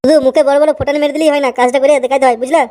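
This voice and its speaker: Man playing a high, fast-talking stern cartoon detective. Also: fairly even intonation, a little mellow. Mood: scolding. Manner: scolding, bossy. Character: stern, sceptical male senior detective in a cartoon. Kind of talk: amateur comedy cartoon dub.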